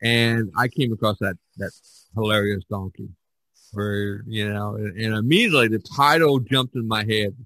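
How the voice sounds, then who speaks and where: A man's voice: fairly low pitch, normal speed, a bit bright, rough recording. A man hosting a podcast in a casual, rambling and jokey way. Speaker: podcast host. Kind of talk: casual chat about painting.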